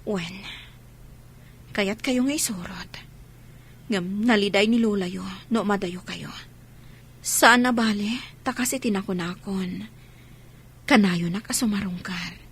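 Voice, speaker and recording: A woman playing a heartbroken, gentle young mother in an Ilocano audio drama, speaking in a sorrowful, pleading way. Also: mid-range voice, unhurried, long pauses, husky. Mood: tearful, consoling. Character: heartbroken, gentle young mother leaving her marital home.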